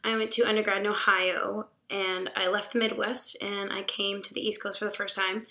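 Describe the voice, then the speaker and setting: A young woman from Pittsburgh, a veterinary student, chatting casually on a podcast with a raspy, hoarse voice from a cold. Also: medium pitch, moderate pace. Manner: chatty, animated, laughs often.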